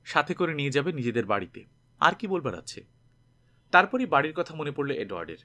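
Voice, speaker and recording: A man narrating a scripted story dramatically, with expressive delivery as an audiobook reader. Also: on the high side, brisk.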